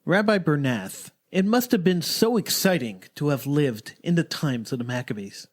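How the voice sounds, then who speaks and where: A male radio host speaks in a lively, swinging voice that sits a bit high. He announces and interviews in broadcast style. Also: normal speed.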